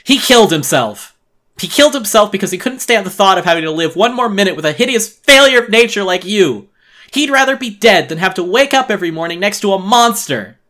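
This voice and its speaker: Male narrator as desperate victim, strained, raised pitch, bitter, tense, horror audiobook. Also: lively intonation, a little fast, pushed and bright. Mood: furious. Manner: strained, dramatic. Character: terrified, bitterly furious male victim pushed past breaking.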